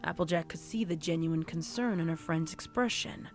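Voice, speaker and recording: A woman's voice: low voice, moderate pace, darkish tone, soft background music. A woman reading scripted fiction as a storybook narrator. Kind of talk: scripted audiobook-style horror fan fiction narration.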